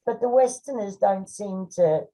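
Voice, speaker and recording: A woman's voice: medium pitch, unhurried, warm tone, low-quality recording. An older woman, chatting casually and reflectively as a podcast co-host, trailing off at times. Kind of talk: casual conversation.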